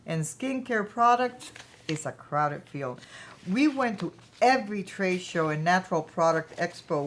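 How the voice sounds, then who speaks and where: A woman's voice: mid-range voice, slight background noise, natural voice. A woman playing a proud, ambitious, chatty founder of her own company in a staged play reading.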